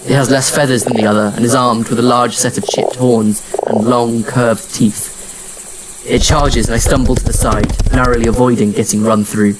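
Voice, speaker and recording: A man narrating a scripted audio drama in the first person, with measured, serious storytelling. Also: medium pitch, little pitch movement, heavy background noise. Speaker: narrator and lead actor.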